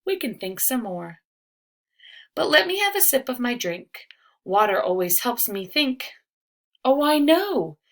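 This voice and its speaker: A woman's voice: on the high side, very animated, a little slow, pauses often, fairly bright tone, voice pushed up, heavily acted. A woman voicing an encouraging, upbeat male older cousin who reassures a child in rhyming storybook lines. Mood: reassuring.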